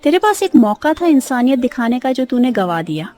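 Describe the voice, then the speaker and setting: A righteous cartoon myna bird played by a woman speaks in a high, mellow voice. She scolds and accuses sternly over a soft bed of music. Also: sing-song, fairly quick. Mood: angry, accusing. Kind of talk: Hindi cartoon story dialogue.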